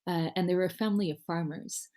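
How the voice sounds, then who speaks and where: Woman, low voice, narrow melodic range, guest speaker, recounting experiences, thoughtful, halting, careful phrasing. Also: steady tone, warm tone. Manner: reflective, hesitant, carefully worded. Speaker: guest speaker, humanitarian worker. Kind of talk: personal storytelling talk.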